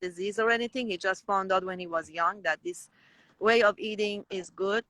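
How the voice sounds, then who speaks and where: A woman's voice: mid-range voice, steady tone, normal speed, fairly bright tone, no echo, poor audio. A woman with a Persian accent, a native Persian speaker, talking as an interview guest with many restarts and fillers. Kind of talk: conversational interview.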